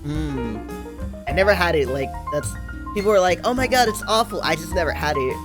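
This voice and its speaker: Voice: mid-range voice, animated, moderate pace. A stream host in casual chat, asking questions in an easygoing, curious way. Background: background music.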